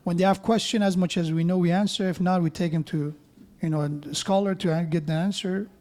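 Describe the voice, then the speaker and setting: Guy giving a sermon at a mosque, pretty high voice, talks loose and conversational. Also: fairly even intonation, fairly quick, darkish tone. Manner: conversational, with joking asides and many restarts. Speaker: preacher.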